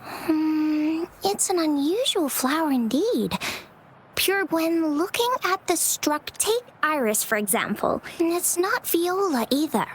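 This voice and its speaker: A woman playing a bubbly, eager, easily excited young woman in an English video game dub, chatty and apologetic. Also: high voice, takes it slowly, a little hiss or hum, low-quality recording. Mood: thoughtful, chatty.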